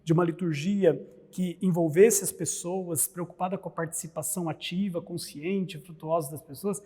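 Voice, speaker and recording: A Brazilian priest lectures earnestly, posing rhetorical questions and answering them. His voice sits high with a husky, mellow edge, and a long hall reverb trails behind it. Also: fairly even intonation, moderate pace. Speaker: priest, liturgy scholar. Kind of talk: explanatory religious talk.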